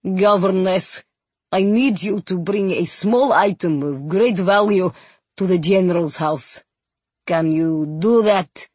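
A woman voicing a big, gruff, tipsy gambling captain in an audiobook, with a low, blustering, dragging delivery. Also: takes it slowly, darkish tone, no echo. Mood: groaning, urgent. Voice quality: deep bass, gruff. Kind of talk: audiobook character acting.

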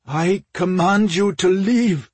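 A man voicing a tormented king enslaved by dark magic, trembling and strained, in a fantasy audiobook. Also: sits high, takes it slowly, husky, no room sound, pitched above the performer's own voice, heavily acted. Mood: possessive, defiant.

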